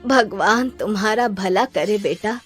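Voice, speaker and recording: A woman's voice: medium pitch, slow pace, soft background music, close to an everyday voice. A woman voicing a poor, pleading old village aunty in a children's cartoon story. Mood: grateful.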